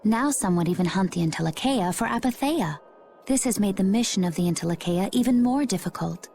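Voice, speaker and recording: Actress doing calm mysterious game woman, composed, medium pitch, faint ambience. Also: a little fast, lightly acted. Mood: serene, explanatory. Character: serene, composed, mysterious young woman on a mission. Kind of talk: English game cutscene voice acting. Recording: faint ambient noise behind.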